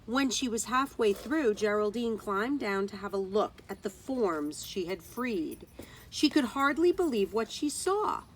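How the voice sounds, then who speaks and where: A woman's voice: mid-range voice, poor audio. A woman teacher reading a children's story aloud outdoors, expressively, with dramatic emphasis on key words.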